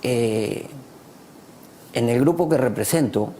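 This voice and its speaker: A man's voice: mid-range voice, slow, warm tone, slight background noise. A Peruvian man with a Peruvian Spanish accent speaking as a guest on a talk show, emphatic and proud, repeating phrases to drive his points home. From Peru. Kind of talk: passionate talk show discussion.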